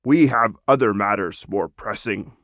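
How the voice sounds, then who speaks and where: A man's voice: fairly low pitch, unhurried, fairly bright tone, dry recording, clean studio sound. A man voicing a proud, noble lizard wizard in a storybook, speaking in a solemn, declamatory way. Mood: solemn, earnest.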